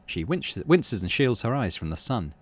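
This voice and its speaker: A man's voice: mid-range voice, very animated, moderate pace. A middle-aged man playing through a game, chatting casually and reading its narration aloud. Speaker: gaming channel host, player.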